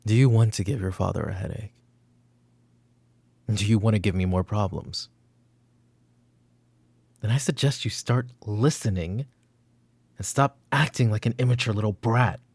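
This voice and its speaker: A man playing a stern, controlling middle-aged mafia boss and protective father in an ASMR roleplay, with commanding, deliberate, menacing delivery. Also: fairly low pitch, vocal fry, a little mellow, husky, no echo. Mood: stern, angry.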